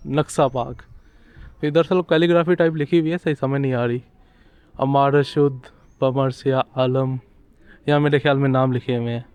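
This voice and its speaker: Young male travel vlogger, mid pitch, casual walk-and-talk delivery, recorded outdoors on a handheld camera. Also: darkish tone, clean tone. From Pakistan. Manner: chatty and conversational, pointing things out as he walks. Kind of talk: casual walking tour commentary. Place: outdoors in narrow old-town streets.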